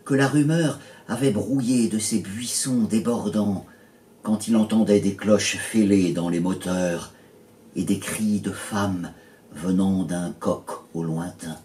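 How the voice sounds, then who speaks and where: A man recites poetry in a fairly low voice and at a very slow pace. He measures out short phrases with pauses between them. The recording is distant and roomy, with echo around the voice. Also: fairly even intonation, warm tone. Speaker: poet reading own poems. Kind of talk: slow literary poetry reading. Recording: roomy echo, distant mic, faint noise.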